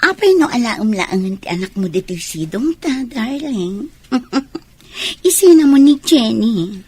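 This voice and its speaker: A woman acting a jealous, scheming mistress in an Ilocano radio drama, with a husky voice. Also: fairly high pitch, big pitch swings, slow, dark tone, exaggerated voice. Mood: sulky.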